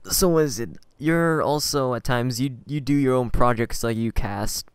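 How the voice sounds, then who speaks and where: A man's voice: medium pitch, unhurried, smooth, dry recording. A man hosting a casual interview, asking questions in a conversational style. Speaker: podcast host interviewer.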